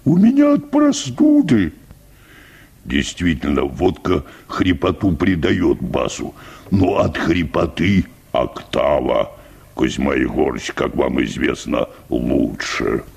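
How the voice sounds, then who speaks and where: An actor in a radio play voicing a meek, rambling, hard-drinking church bass with a hoarse, hesitant, deferential delivery. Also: male voice, sing-song, slow pace, a little mellow, exaggerated voice. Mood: earnest, pompous. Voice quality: deep, hoarse, gravelly bass. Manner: wordy, hesitant, deferential, repeating polite filler phrases. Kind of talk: radio play acting of a comic short story.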